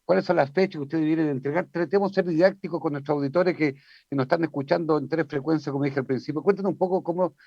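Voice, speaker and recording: A man's voice: fairly high pitch, fast, creaky, no room sound. A man hosting a radio interview, asking questions animatedly and tripping over his words now and then. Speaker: radio host. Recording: dry, slightly dull sound.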